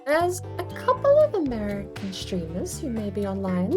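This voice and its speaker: A woman's voice: medium pitch, wildly swooping pitch, normal speed, music in the background. A woman giving casual livestream game commentary, rambling and thinking aloud as she plays. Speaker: game streamer.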